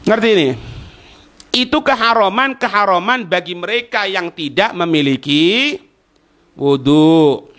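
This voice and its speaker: Male religious instructor, very high bright voice, explains phrase by phrase with rhetorical questions. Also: lively intonation, unhurried. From East Java, Indonesia. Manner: didactic, repeats phrases, asks rhetorical questions. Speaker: Islamic religious teacher. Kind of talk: religious lesson explaining a text line by line.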